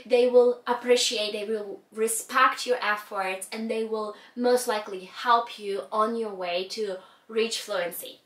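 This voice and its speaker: A woman's voice: a little high, fairly even intonation, unhurried, fairly bright tone, recorded from a distance. A young woman in her twenties with a Ukrainian accent, talking to camera about learning languages and gesturing expressively with her hands. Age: 20s. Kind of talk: scripted talk to camera. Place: indoor room with a rug backdrop. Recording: roomy echo, voice a little off-mic.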